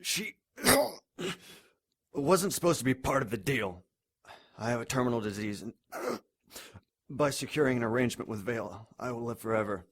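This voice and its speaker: An actor playing a haughty, scheming, dying old man in an audio drama, formal and indignant, often clearing his throat. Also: medium pitch, animated, normal speed, a bit bright. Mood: cold, scheming. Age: older. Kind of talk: audio drama villain acting.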